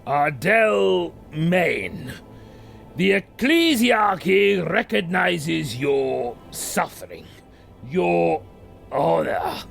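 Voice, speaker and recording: A man's voice: a little high, vocal fry, a bit bright, full character voice. A man playing a solemn church pastor in an audio drama, speaking in weighty, ceremonial oratory. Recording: music behind the voice.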